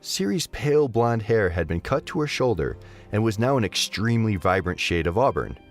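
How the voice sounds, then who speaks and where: A man narrating a scripted story in an expressive storytelling manner. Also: on the low side, normal speed, soft background music.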